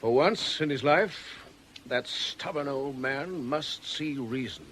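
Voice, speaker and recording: An actor playing a troubled, genteel vicar in a radio drama, courteous, educated and earnest. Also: male voice, mid-range voice, slow, fairly bright tone. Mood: earnest, pleading. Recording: faintly noisy old radio recording.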